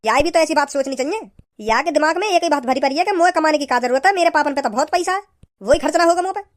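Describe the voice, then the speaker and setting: A man playing a scolding, preachy village father in a comedy cartoon, with a pitched-up voice. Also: rapid-fire. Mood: grumbling. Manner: preachy, repetitive, hectoring.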